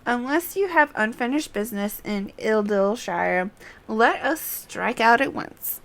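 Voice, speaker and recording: A woman voicing a composed female scholar in a fantasy game, with measured, formal, old-fashioned phrasing. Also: fairly high pitch, animated, noisy background.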